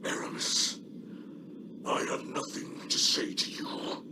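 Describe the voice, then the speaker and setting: An actor playing a stern, grieving alien leader in a video game cutscene, grave and formal with a breathy growl. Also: male voice, takes it slowly, whispered, far from the mic, close to an everyday voice. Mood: cold, wounded.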